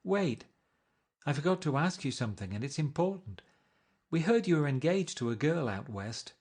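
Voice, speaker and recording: A man reading aloud and voicing a flighty, teasing wealthy young woman with a high, gushing lilt. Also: sing-song, moderate pace, a little mellow, breathy edge. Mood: insistent. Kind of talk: audiobook character voice.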